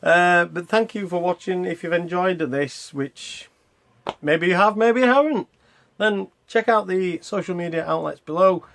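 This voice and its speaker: A man's voice: high-pitched, a little slow, vocal fry, a bit bright, a little hiss or hum. A man hosting a retro gaming vlog in a casual, unscripted way, rambling and hesitant as he interrupts himself. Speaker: host of a retro gaming video channel.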